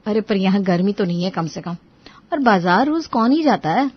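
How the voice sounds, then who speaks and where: A woman's voice: medium pitch, animated, moderate pace, breathy edge, no echo, highs cut off. A woman playing a tired young wife and mother in a cartoon story, conversational and mildly complaining. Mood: chatty. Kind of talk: Hindi moral-story cartoon dubbing.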